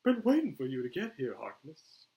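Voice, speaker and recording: A man's voice: mid-range voice, huge pitch swings, moderate pace, narrow, tinny audio, voice pushed up. A man voicing a stern, commanding military officer in a story. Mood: dry, briefing.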